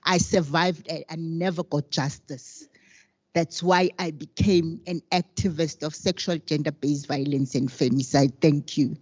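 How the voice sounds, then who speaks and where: Deep-voiced older woman, slow and rousing. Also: fairly even intonation, a little mellow, no echo. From South Africa. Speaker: activist panelist. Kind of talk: panel self-introduction. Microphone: handheld microphone. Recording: dull lo-fi sound.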